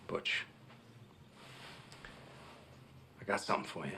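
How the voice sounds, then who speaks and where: An actor playing a solemn middle-aged war veteran captain in a film drama, telling his story earnestly and haltingly, with long pauses. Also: whispered, close to an everyday voice. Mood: earnest, reminiscing. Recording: noisy film soundtrack.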